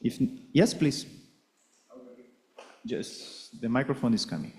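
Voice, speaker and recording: Mellow-voiced man moderating a Q&A. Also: mid-range voice, expressive. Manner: measured, polite, explains questions step by step. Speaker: project coordinator and Q&A moderator. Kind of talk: moderating conference Q&A. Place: conference hall.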